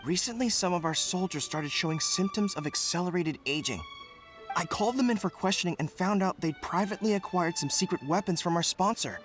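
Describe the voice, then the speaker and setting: An actor playing a loyal, serious young male general who reports in a measured way. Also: sits high, lightly acted. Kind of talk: game voice acting. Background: game music.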